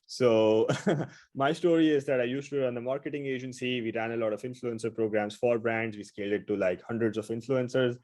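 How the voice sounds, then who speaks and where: A man's voice: mid-range voice, fairly even intonation, a little mellow. A man with an Indian accent from India, giving an upbeat, casual webinar presentation over a video call. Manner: upbeat, quick and casual, with frequent fillers.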